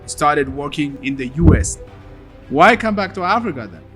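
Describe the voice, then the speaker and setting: A man hosting a podcast interview, asking questions in a measured way with frequent fillers and short affirmations. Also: a little high, animated, fairly bright tone, faint music behind. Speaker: podcast host.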